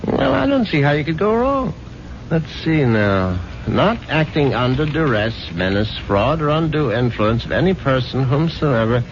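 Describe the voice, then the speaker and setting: An actor playing a sardonic, money-minded middle-aged American husband in an old radio drama, with a wry theatrical delivery. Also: male voice, medium pitch, big pitch swings, moderate pace, noisy background. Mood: amused. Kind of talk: 1950s radio drama acting with first-person narration. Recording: muffled vintage radio recording with music.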